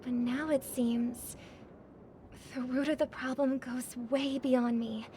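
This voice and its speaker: An actress playing a kind, graceful young singer in a video game, speaking politely and with composed sincerity. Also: female voice, high voice, level tone, slow, a little hiss or hum. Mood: apologetic, grave. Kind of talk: video game cutscene acting. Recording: loud music under the voice.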